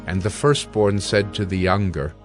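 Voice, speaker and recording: A man narrating scripture from a script in a measured, solemn manner. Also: low voice, soft background music.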